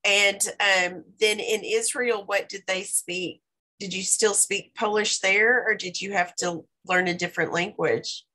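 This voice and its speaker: A woman interviewing, asking gentle prompting questions at an unhurried pace. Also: mid-range voice, steady tone.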